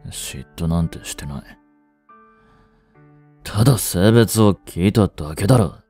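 An actor playing a proud, aloof teenage boyfriend in a romance voice drama, curt and dismissive, later feverish and weak. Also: male voice, sits low, animated, measured pace, mellow, husky, soft background music, full character voice.

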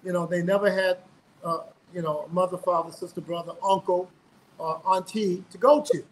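A middle-aged man with a notably high voice talks earnestly over a video call from his home. He often restarts and repeats words as he goes. A faint hum of room ambience sits behind him. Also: fairly even intonation, moderate pace, low-quality recording. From South Carolina, US. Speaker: guest, singer. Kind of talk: casual interview.

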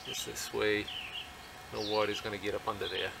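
Gravelly man explaining outdoor boat work. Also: fairly low pitch, moderate pace, background noise. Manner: relaxed, plainspoken, with many fillers. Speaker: DIY workshop vlogger. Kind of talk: casual how-to narration. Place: outdoors in a rural driveway beside a boat trailer. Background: birds and outdoor ambience.

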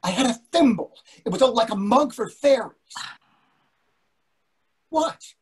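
An older actor plays a grumbling, exasperated husband in a stage comedy. His voice is very husky and rough, and its pitch jumps around in lively fashion as he bluntly complains. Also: measured pace, full character voice. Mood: impatient. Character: impatient, grumbling husband craving beer and food. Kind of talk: readers theatre comedy acting. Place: indoor room on a video call. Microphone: video call microphone.

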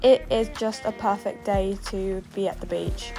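A woman giving casual, excitable commentary as she role-plays in a video game, exclaiming often. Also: medium pitch, measured pace, over loud music, rough recording. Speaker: gaming video creator.

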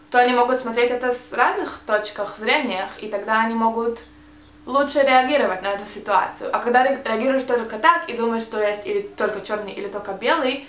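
A woman's voice: fairly high pitch, fairly bright tone, echoey room. A young woman with a Hebrew accent, speaking Russian as a second language in a casual tag video, often hesitating and restarting words. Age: young adult. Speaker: vlogger. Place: small bright room, indoors. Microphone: camera mic, seated facing camera.

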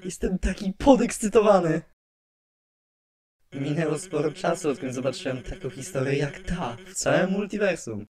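A man playing an excitable, chatty skeleton artist guardian who is prone to brooding, in an animated fan dub with expressive delivery. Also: fairly high pitch, normal speed, a crowd in the background, natural voice. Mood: excited, playful.

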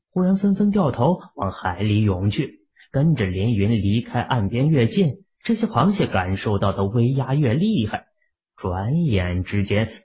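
A man with a husky voice narrating a fantasy novel as an audiobook in an emotive storytelling manner. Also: fairly low pitch. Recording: muffled lo-fi audio.

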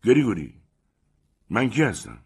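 A man playing a haughty, proud gentleman. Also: lowish voice, sing-song, husky, pitched above the performer's own voice, exaggerated voice. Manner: haughty and declaiming. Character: proud, touchy middle-aged man, indignant engineer.

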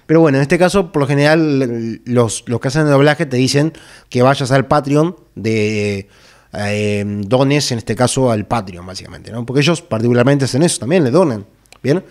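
A man talks casually and unscripted to camera, halting and repeating himself with frequent tag questions, close to a boom-arm microphone in a small room. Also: a little mellow. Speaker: video creator. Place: small bare indoor room.

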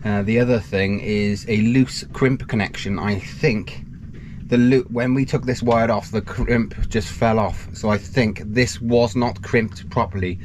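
A man in his thirties, a sailing vlogger, talking to a handheld camera in a small boat cabin and calmly explaining an electrical repair step by step. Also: on the low side, measured pace. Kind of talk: casual vlog narration to camera. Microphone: action camera held close. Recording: faint background noise.

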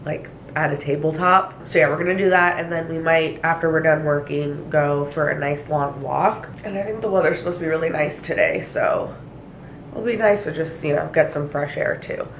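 A woman's voice: sits low, steady tone, moderate pace, a little hiss or hum. A young woman vlogging casually to the camera in her small apartment. She sounds nasal and stuffy from allergies and talks with lots of fillers. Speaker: vlogger and hairstylist. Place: small apartment, kitchen and bathroom. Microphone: handheld camera at arm's length or tripod.

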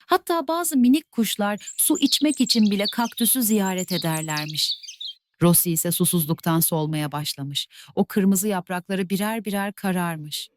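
A woman narrating classic fairy tales for children in a soft, measured way. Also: medium pitch, no echo. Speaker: storyteller. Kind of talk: scripted fairy-tale narration.

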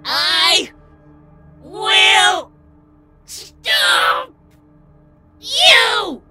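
Possessed stallion for audio story played by a woman: desperate, defiant, very high and piercingly bright. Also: sing-song, extremely slow, soft background music, heavily acted. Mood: defiant rage. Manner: desperate, strained yelling. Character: frightened, defiant stallion trapped inside a monster's body. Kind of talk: dramatic audio story acting.

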